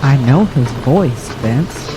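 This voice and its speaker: A defiant recruit, swooping pitch. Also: female voice, animated, slow, noisy background, exaggerated voice. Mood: surprised. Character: defiant female army recruit answering back to superiors. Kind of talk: audio drama acting.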